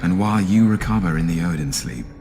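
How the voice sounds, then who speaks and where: A calculating trickster prince in a fantasy game. The voice sits low and insinuates its schemes with measured persuasion, while music plays clearly behind it. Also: male voice, expressive, mellow. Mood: ingratiating. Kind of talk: dramatic video game cutscene acting.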